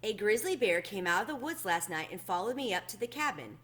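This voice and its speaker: A woman's voice: mid-range voice, sing-song, a little fast, fairly bright tone. Woman playing an earnest, indignant young girl. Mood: nervous. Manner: insistent, earnest.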